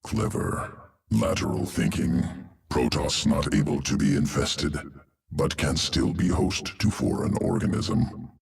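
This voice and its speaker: Super deep gravelly alien lab creature, slow, chopped up words, sounds kinda far off. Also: male voice, expressive, exaggerated voice. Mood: clinical. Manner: clipped fragmented speech with no small words, detached. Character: alien male creature scientist, cold and clinical.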